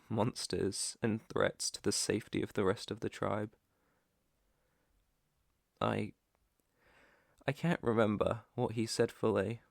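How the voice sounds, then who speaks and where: A British man playing an earnest, protective alpha werewolf in an ASMR roleplay, with a hesitant, stammering, soft-hearted way of talking and a creaky voice. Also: on the low side, no room sound, clean studio sound. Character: protective young male alpha werewolf, earnest, shy outcast.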